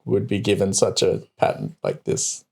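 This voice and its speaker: A man co-hosting a tech podcast in casual chat, halting at times, with dry irony. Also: deep voice, big pitch swings, gravelly, breathy edge. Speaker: co-host of a tech news podcast.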